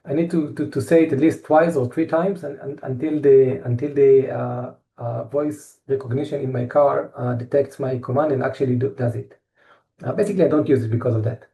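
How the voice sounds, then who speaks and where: A middle-aged man with an Israeli accent giving a technical presentation, halting often with fillers and restarts. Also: medium pitch, steady tone, warm tone. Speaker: tech company presenter.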